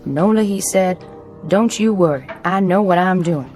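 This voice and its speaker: An actress playing a plainspoken, superstitious household servant with a folksy, cautioning manner in a radio drama. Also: female voice, lowish voice, moderate pace, darkish tone, soft background music, natural voice. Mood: wary.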